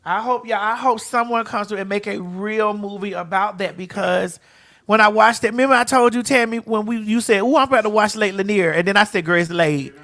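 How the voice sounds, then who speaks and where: A woman co-hosting a casual podcast chat, brash, loud and profane, telling stories dramatically. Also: medium pitch, a little fast. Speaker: podcast co-host.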